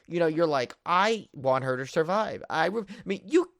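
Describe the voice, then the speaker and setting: A man's voice: on the high side, lively intonation, moderate pace, gravelly, a bit bright, no room sound, clean studio sound. Rambling, enthusiastic man chatting about film. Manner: rambling, repeats himself, piles up "like" and "you know". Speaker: podcast co-host. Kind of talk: casual film review chat.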